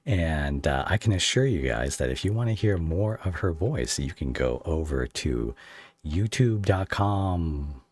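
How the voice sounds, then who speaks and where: A man's voice: very deep voice, lively intonation, a little slow, darkish tone. A man hosting a casual online podcast interview, talking in an appreciative, complimentary way as he addresses his guest and listeners. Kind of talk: casual online interview before a live chat. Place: online voice call.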